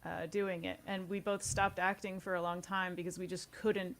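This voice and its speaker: A woman's voice: fairly low pitch, level tone, moderate pace. A woman talking casually as an interview guest, chatty and informal. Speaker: podcast guest, audio series co-creator.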